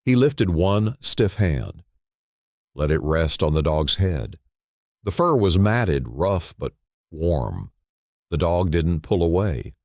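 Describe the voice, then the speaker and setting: A man narrating a dramatic story in a solemn, deliberate way, in short phrases with dramatic pauses. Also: low-pitched, big pitch swings, a little mellow, no room sound, clean studio sound.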